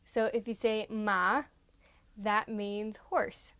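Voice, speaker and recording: A woman's voice: medium pitch, level tone, takes it slowly, fairly bright tone, no room sound. A woman who grew up as an American in China, chatting casually and explaining things in a giggly way. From China, as an American expatriate child. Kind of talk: casual conversational storytelling.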